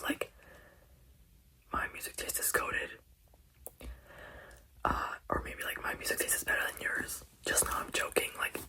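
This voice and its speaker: A whispering ASMR host who sounds congested from crying, rambling in a soft, meandering way with many fillers. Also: low-quality recording.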